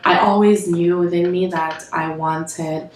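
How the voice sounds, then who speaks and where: A woman's voice: fairly low pitch, steady tone, measured pace, distant mic. A Jamaican woman vlogger with a nasal voice from a cold, telling a candid life update with lots of fillers and sighs. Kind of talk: casual life-update vlog. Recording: very echoey room, away from the mic, soft music behind.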